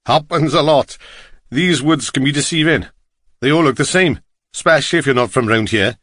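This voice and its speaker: A man's voice: mid-range voice, normal speed, fairly bright tone, no echo, studio quality, natural voice. A man voicing a chatty, curious middle-aged taxi driver with a Welsh accent in an audiobook. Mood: friendly, curious. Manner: chatty, lilting, inquisitive.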